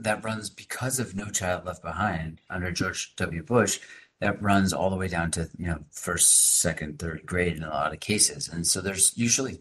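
A man's voice: deep voice, fairly bright tone, a little hiss or hum. A male teacher and activist speaking emphatically as a podcast guest, often adding "right?" and "like" as he explains. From Massachusetts. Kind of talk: conversational podcast interview.

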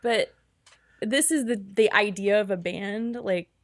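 Chatty woman with a gravelly edge. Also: medium pitch, a little slow, no echo, clean studio sound. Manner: chatty, animated, lots of "like". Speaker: band member, singer. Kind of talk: casual interview chat.

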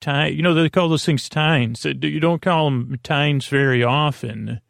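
A man with a heavily creaky, gravelly voice, recorded close and dry. He hosts a rambling bedtime podcast, improvising as he goes, halting often, circling back and leaving thoughts unfinished. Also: on the high side, fairly bright tone, no room sound. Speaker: podcast host.

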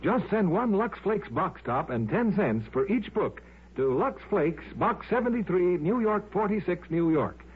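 A man reading scripted advertising as a 1950s radio announcer, with a husky voice. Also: high-pitched, animated, a little slow. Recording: narrow old radio broadcast.

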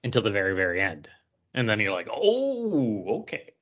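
A man's voice: low voice, fast talker, pushed and bright. A man co-hosting a podcast, chatting casually about comic books. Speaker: podcast co-host. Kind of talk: casual comic book discussion. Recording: dull, lo-fi podcast sound.

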